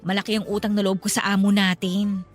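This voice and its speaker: A woman's voice: medium pitch, little pitch movement, quick pace, soft background music, natural voice. A woman playing an earnest, loyal young flower vendor in a radio drama. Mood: curious, chatty.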